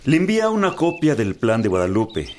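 A man's voice: mid-range voice, wildly swooping pitch, a little fast, darkish tone, slight background noise. A man acting in a radio drama as a ceremonious political envoy, formal and declamatory. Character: ceremonious male political envoy addressing a revolutionary general.

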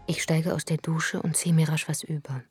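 A woman plays a brooding narrator for a radio drama. Her voice sits low, and she speaks in a hushed tone. Music plays clearly beneath her. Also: level tone, normal speed, no echo, clean studio sound.

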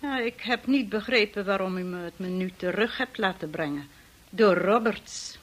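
An actress playing a cold, proud, resentful housekeeper in a radio drama, formal and stiff. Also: female voice, medium pitch, normal speed, lightly acted. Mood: stiff, affronted.

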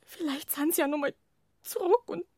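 Shy, high-voiced Bavarian village girl played by a female. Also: normal speed, darkish tone, no room sound, lightly acted. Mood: timid, secretive. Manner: hesitant, childlike. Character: shy, naive village girl confiding secrets. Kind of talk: radio crime drama acting.

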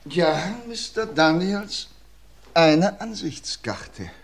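Shrewd old-radio detective, pointed, lively swings in his voice. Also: fairly high pitch, gravelly, slight background noise, heavily acted. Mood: accusing. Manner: measured, pointed interrogating delivery. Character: shrewd male police inspector confronting a suspect. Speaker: police inspector. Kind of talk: 1950s radio drama acting.

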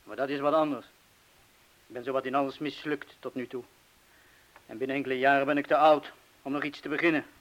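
An actor playing a weary, irritable middle-aged man in a radio drama, speaking haltingly in broken-off phrases. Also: mid-range voice, steady tone, fairly bright tone, muffled recording, natural voice. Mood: weary, grave.

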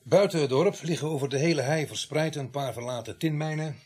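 An actor in a radio play as a middle-aged, grumbling veteran village police sergeant, speaking with dry, gruff sarcasm. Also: male voice, on the high side, fairly quick, darkish tone. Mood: dry, instructive. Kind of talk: radio drama acting.

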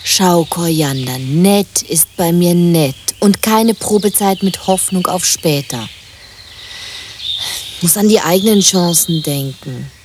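Slow, lowish aloof woman. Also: animated. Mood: cool rebuffing. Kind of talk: German radio play acting. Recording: clear outdoor-like noise, birdsong and crickets.

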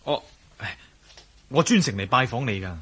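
An actor playing a bold, wary adventurer hero in a radio drama, speaking Cantonese with a Hong Kong accent in a wry, commanding manner. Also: male voice, mid-range voice, lively intonation, normal speed, a bit bright, close to an everyday voice. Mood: sheepish, explaining. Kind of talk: radio drama acting with spoken inner monologue.

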